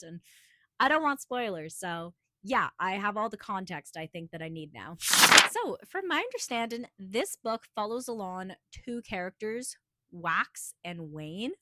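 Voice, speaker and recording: A woman with a hoarse voice from a cold, giving a chatty, rambling book review with lots of filler words. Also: mid-range voice, sharp tone, dry recording. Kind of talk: casual book review vlog.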